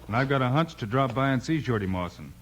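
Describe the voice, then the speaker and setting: A man acts a steady, kindly lawman of the old West, medium in pitch and reassuring. It is radio western drama with faint noise on the recording. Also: fast talker, lightly acted. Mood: calm, reassuring. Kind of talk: 1950s radio western acting.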